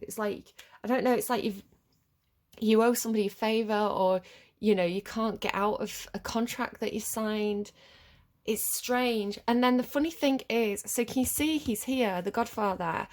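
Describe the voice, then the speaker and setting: A woman's voice: fairly high pitch, normal speed, darkish tone. A woman with a head cold, giving a rambling, hesitant tarot reading. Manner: rambling and hesitant, many ums and restarts. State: congested with a cold, sniffly. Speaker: tarot reader. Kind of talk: casual collective tarot reading to camera.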